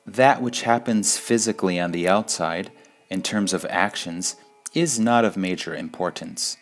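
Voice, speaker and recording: A man reading spiritual sayings in a measured, deliberate devotional style, pausing between phrases. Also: deep voice, soft background music. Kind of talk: slow devotional quote reading.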